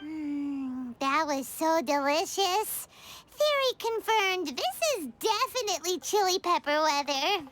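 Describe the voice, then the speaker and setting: A woman voicing a tiny, cheerful, food-loving fairy companion in a video game, with a squeaky, childlike voice and perky manner. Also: very animated, takes it slowly, a bit bright, heavily acted. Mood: savoring food. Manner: perky, refers to self in third person.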